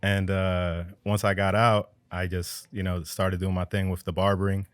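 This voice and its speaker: A man from New Jersey in his thirties, a barber telling his story as a podcast guest in relaxed slang, often adding "you know what I mean". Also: low voice, normal speed, no echo. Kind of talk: casual storytelling.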